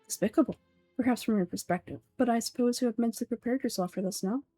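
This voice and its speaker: Lady doing cold pompous old villain sage guy for a game, talks formal n condescending, voice on the dark side. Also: on the high side, quick pace, no room sound, faint music behind, close to an everyday voice. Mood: coldly condescending. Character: pompous, menacing old male grand sage, coldly calculating villain. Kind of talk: amateur voice-over of game dialogue.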